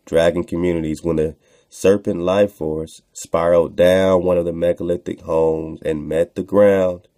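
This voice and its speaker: Male online radio host: very deep, mellow voice, slangy and repetitive for emphasis, reading and riffing casually. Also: flat delivery, a little slow. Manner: slangy, conversational, repeats phrases for emphasis. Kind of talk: casual commentary while reading aloud from a text.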